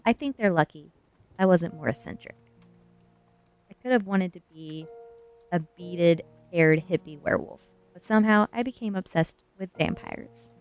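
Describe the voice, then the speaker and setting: Gentle woman reading softly over music. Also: fairly low pitch. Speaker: streamer and storyteller. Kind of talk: soft ASMR chat and book reading. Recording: music behind the voice. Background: background music.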